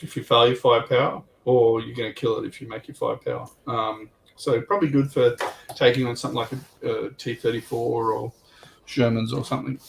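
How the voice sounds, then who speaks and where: A man's voice: mid-range voice, flat delivery, brisk, gravelly, recorded from a distance, background noise. A man on a hobby livestream panel, commenting casually on a tabletop game and thinking aloud in a hesitant, rambling way.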